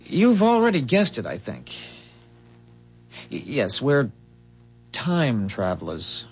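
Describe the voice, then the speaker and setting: An actor playing an earnest, secretive inventor from a bleak future in a 1970s radio drama, explaining things with hesitant, measured pauses. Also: male voice, medium pitch, wildly swooping pitch, vocal fry, husky, heavily acted. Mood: revealing. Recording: muffled 1970s radio broadcast.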